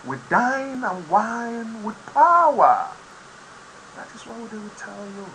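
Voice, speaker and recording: A man with a Nigerian accent whose first language is Yoruba hosts an online program, giving impassioned political commentary with sudden loud, emphatic bursts. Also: high-pitched, sing-song, slow pace, noisy background. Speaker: host of an online talk program.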